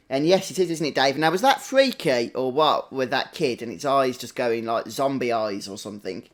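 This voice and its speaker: A man with a British accent giving casual live gameplay commentary, chatting with viewers as he hosts a stream. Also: mid-range voice. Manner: chatty, greets viewers individually. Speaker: game livestream host.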